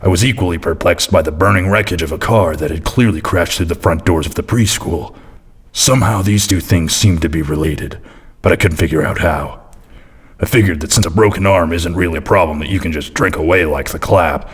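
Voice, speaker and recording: A man as a low, gruff noir detective. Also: a little fast. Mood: world-weary deadpan. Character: boozy, crude, surly pill-popping male private detective. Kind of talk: hardboiled noir monologue parody.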